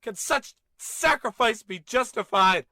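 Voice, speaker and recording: A man's voice: very high voice, lively intonation, measured pace, bright, forceful tone, no echo, full character voice. A man playing an earnest, melodramatic spaceship captain with solemn, dramatic delivery in a comedy radio play. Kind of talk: radio play parody acting.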